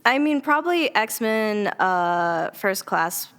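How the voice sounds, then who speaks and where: A young woman speaks as an actress guest in a casual conversation. Her voice is smooth and clean, sitting at a middle pitch for a woman. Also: expressive, slow, fairly bright tone. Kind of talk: casual chat.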